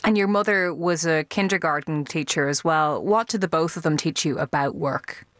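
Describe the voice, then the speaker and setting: A woman's voice: sits low, normal speed, fairly bright tone, dry recording. A woman radio presenter delivering scripted introductions and putting interview questions to a guest.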